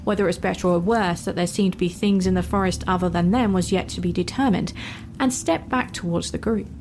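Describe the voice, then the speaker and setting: A woman narrating a fantasy story in a measured, wry storytelling manner. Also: fairly low pitch, a little mellow, slight background noise. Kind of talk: dramatic fan fiction narration. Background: music.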